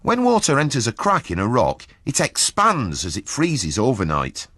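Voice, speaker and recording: A man's voice: mid-range voice, huge pitch swings, normal speed, a bit bright, breathy edge, no room sound, studio quality. A man narrating a scripted educational clip, explaining a process step by step.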